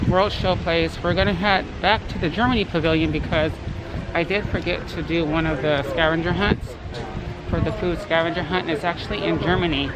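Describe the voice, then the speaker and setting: A young man with a hoarse, dry voice chatting casually through a food review vlog, filmed at arm's length outdoors at a theme park. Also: a little high, poor audio. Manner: chatty, restarts and self-corrections. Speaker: vlogger. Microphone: handheld camera at arm's length. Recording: loud crowd and music behind.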